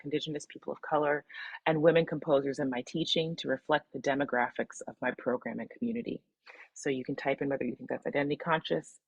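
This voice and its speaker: A woman from the Midwest leads an online workshop, talking participants step by step through a reflection exercise. Her voice sits low and has a slightly husky edge. The sound is dry and close, like a video call. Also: no echo, muffled recording. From Midwestern US, Michigan. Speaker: webinar presenter.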